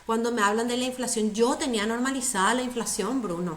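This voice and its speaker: A woman's voice: fairly high pitch, fast talker, a bit bright, a little hiss or hum. Emphatic woman in an echoey room. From Paraguay. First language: Spanish. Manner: earnest, emphatic, repeats herself for stress. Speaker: guest. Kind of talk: conversational interview.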